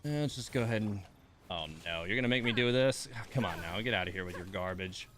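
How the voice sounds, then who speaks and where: A man with a lowish voice talks through live gameplay as a streamer. His delivery is casual and conversational, with mildly exasperated asides muttered half to himself. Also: animated, fast, fairly bright tone. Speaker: game streamer.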